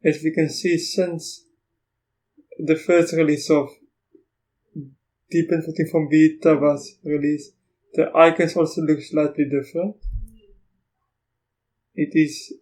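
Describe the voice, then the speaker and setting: A man whose first language is Afrikaans gives a casual walkthrough of software while recording his screen, thinking aloud in halting phrases. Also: a little high, little pitch movement. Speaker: Linux software reviewer.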